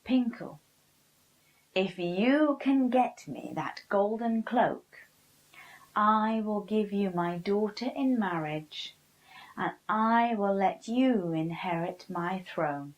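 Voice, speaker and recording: A woman's voice: medium pitch, animated, takes it slowly, lo-fi sound. A woman voicing a demanding king making a grand offer. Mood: commanding.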